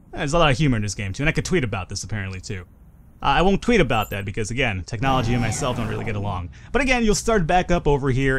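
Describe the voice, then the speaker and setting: A young man giving casual, rambling, self-deprecating commentary over a game he is playing. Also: medium pitch. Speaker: gaming channel host.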